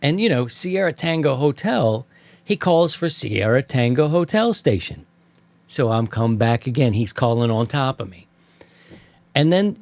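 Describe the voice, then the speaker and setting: A man's voice: on the high side, no room sound. A man hosting an amateur radio show, telling anecdotes in a casual, slangy way and acting out remembered exchanges. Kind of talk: casual anecdotal storytelling to co-hosts.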